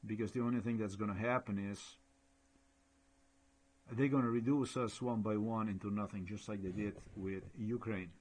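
Slovenian-accented man, halting commentary. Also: on the low side, fairly even intonation, a little mellow. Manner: halting, repetitive non-native English. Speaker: blogger. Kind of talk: screen-share commentary.

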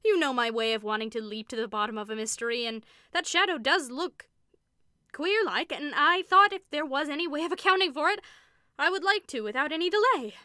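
A woman's voice: high voice, brisk, pushed and bright, no echo, clean studio sound, pitched above the performer's own voice. A woman voicing a nervous man who blusters and forces an easy tone. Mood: forced ease.

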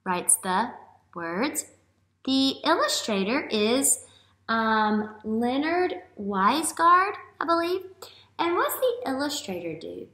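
Animated woman teacher reading to children. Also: high-pitched. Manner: animated, questioning, drawn-out emphasis for children. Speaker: elementary school teacher. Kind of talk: read-aloud picture book lesson for young children. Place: small classroom-like room, indoors. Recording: roomy indoor echo.

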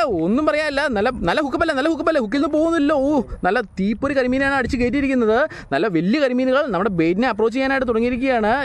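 A man commentates a fishing outing at speed, his voice sitting high and bright. He hypes each catch and talks straight to his viewers. The sound is dry and close, with little room around it. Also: dry recording. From Kerala, India. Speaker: fishing vlogger. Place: outdoors by a lake with fishermen.

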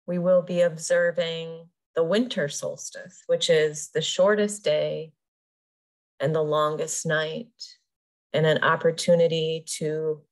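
A woman leading a guided meditation as a yoga nidra teacher, soothing and unhurried, pausing between short phrases. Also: low voice.

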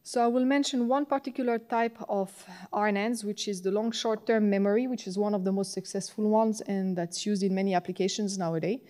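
A woman's voice: medium pitch, fairly even intonation, brisk, few pauses, dark tone. French-accented woman giving a technical lecture. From France. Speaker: conference tutorial presenter. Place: large conference hall, on stage at a podium.

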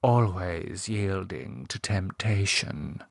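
A man's voice: medium pitch, expressive, unhurried, no echo, clean studio sound. A man voicing a flirtatious upper-class English society wife in an audiobook, effusive and coquettish. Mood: coy, flirtatious. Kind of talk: audiobook character voice.

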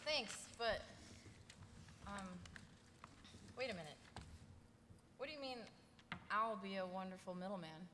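A woman's voice: mid-range voice, animated, measured pace, pauses often, natural voice. A young woman playing a feisty, sardonic sidekick with blunt, informal delivery at a live table read. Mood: dismayed. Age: young adult. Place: large indoor convention panel room. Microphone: table microphone. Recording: noisy echoey convention hall, off mic.

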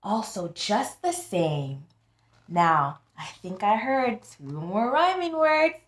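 A woman in her thirties reading aloud to young children as a tutor, pausing to teach and speaking to them directly in an animated, instructive way. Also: mid-range voice. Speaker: tutor hosting a children's book club. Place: small indoor room. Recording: echoey small room.

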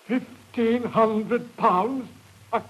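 An actor playing a cowardly, flustered rich gentleman in a 1950s radio drama, stammering and pleading. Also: extremely high pitch, very slow, husky, dull audio, heavily acted. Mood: panicked pleading. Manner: stammering, spluttering, panicky.

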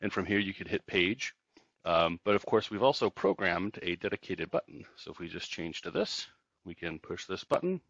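A man's voice: low voice, expressive, normal speed, a bit bright, no echo, muffled recording. A man narrating a casual product tutorial as a company trainer, explaining things step by step.

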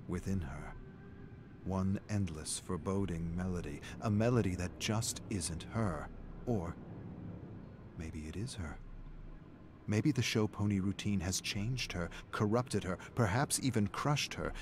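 A man playing a brooding narrator of dark game lore, reading with measured, deliberate drama. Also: deep voice, background noise. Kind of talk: dramatic scripted story narration.

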